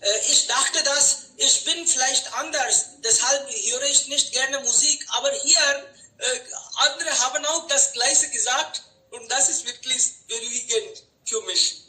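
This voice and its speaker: A woman's voice: high-pitched, measured pace, sharp tone. Encouraging woman host, echoey room. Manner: encouraging, brief replies. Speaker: conversation club host. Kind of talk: moderating a group conversation. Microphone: video call. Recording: roomy room sound.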